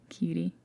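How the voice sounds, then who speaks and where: A woman playing a gentle, teasing, affectionate girlfriend in an intimate sleep-aid roleplay, with a soft, breathy half-whisper and a tender manner. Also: lowish voice, full character voice. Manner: hesitant, tender, gently playful.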